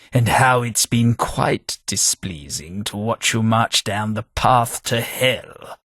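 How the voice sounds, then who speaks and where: A man voicing a dying ghoul gentleman in a game, speaking in a polite, formal, dry manner. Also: fairly low pitch, husky, dry recording, exaggerated voice. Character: dying ghoul gentleman companion, courteous and wry. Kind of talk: game voice acting.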